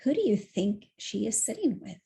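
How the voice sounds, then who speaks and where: A woman's voice: mid-range voice, very animated, moderate pace, vocal fry, mellow. A woman in her forties, a children's author presenting a picture book to young viewers. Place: small room at home.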